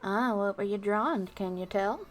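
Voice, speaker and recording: A woman livestreamer chatting casually to her viewers, musing off the cuff with pauses between thoughts. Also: medium pitch, soft background music. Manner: unscripted musing, addressing chat, with pauses. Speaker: VTuber livestreamer.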